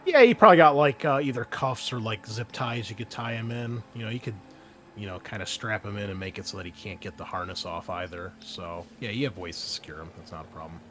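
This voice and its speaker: A man acting as game master, narrating and explaining a tabletop roleplaying game in a casual, halting, conversational way. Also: on the low side, noisy background.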